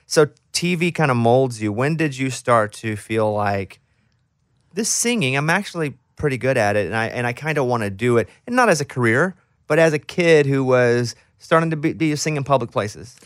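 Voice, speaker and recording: A man's voice: medium pitch, expressive, normal speed, dry recording. Chatty man hosting, dry sound. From Arkansas, USA. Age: 30s to 40s. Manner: chatty, informal, talks at length before questions. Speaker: interview host. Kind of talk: casual conversational interview.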